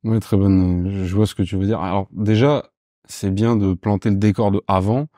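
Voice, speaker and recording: A man in his thirties from Paris, a podcast guest talking casually and informally, often pausing with fillers as he searches for words. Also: lowish voice, fairly even intonation, mellow, dry recording, clean studio sound. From Paris, France. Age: 30s. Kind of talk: casual conversational interview.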